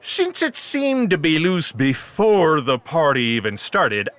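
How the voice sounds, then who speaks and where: Glib male town bigwig, hammy and self-important, high swooping voice, fantasy audio comedy. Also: wildly swooping pitch, slow, a bit bright, dry recording, full character voice. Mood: smugly official. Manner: hammy public-announcer pomp, glib.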